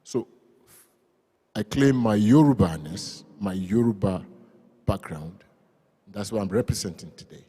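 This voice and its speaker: A low, husky-voiced man speaks in a measured, emphatic way on a panel. His dark, mellow tone carries a long reverb from a large museum hall. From Nigeria. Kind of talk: panel discussion.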